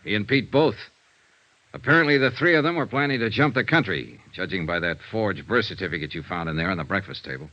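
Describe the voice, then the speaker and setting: An actor playing a shrewd, cool-headed investigator in 1950s radio detective drama, with a brisk, hard-boiled, confident way of reasoning. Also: male voice, low voice, animated, no echo. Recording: dull vintage radio recording.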